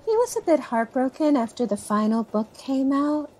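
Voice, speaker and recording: A woman's voice: sits high, expressive, normal speed, darkish tone, slight background noise. An actress playing a candid, motherly woman, the niece of an author, chatting naturally in an audio drama interview. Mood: candid, reflective. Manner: conversational, candid.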